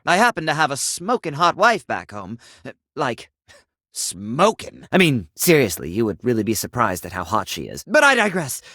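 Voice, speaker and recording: A man's voice: a little high, fairly bright tone, dry recording, studio quality. An actor playing a confused, sentimental sink who thinks he is human in a video game, with theatrical, flowery delivery. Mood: yearning, earnest. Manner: theatrical, flowery, swinging between formal and exclamatory. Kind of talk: video game character acting.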